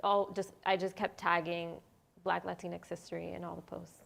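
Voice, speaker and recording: A woman's voice: on the low side, flat delivery, normal speed, vocal fry, fairly bright tone. A woman on a panel, telling a personal story in a chatty way and laughing easily. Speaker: guest panelist. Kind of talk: casual panel conversation.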